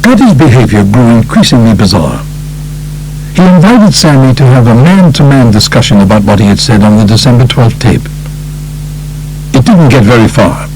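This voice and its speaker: An older man with a gravelly voice reads a true crime book as a scripted audiobook narrator. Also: medium pitch, big pitch swings, moderate pace, darkish tone, faint music behind, poor audio.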